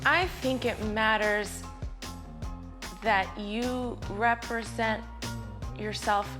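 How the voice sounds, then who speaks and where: A woman's voice: on the high side, steady tone, extremely slow. Reflective woman in her late thirties. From Southern California. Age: late 30s. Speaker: interviewee. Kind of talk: candid sit-down interview. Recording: music bed behind voice.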